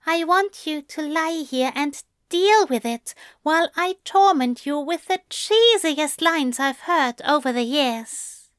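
A woman's voice: high-pitched, lively intonation, slow pace, few pauses, no echo, studio quality, pitched above the performer's own voice. A woman voicing a prim male angel in an audio story, with a coy, genteel, lilting and affectionate manner. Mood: teasing, playful.

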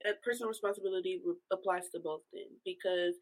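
A woman's voice: lowish voice, steady tone, fast, poor audio. A woman chatting casually on a podcast in a frank, slangy way. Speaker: podcast panelist. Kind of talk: casual chat.

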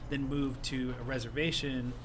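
A man's voice: medium pitch, level tone, moderate pace, background noise. A man from Philadelphia interviewing a guest in a casual, friendly chat, with a lot of hesitant phrasing. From Philadelphia, United States.

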